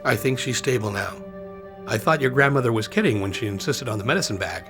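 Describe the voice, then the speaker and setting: An actor playing a tired, angry fugitive agent in a video game cutscene, curt, defiant and sardonic. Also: male voice, medium pitch, animated, quick pace, natural voice. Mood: serious, businesslike. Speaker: game character. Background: game soundtrack music.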